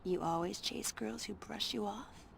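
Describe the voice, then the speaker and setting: Slow, creaky, sly female cat burglar. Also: medium pitch, a little hiss or hum. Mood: cool mockery. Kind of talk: video game cutscene acting.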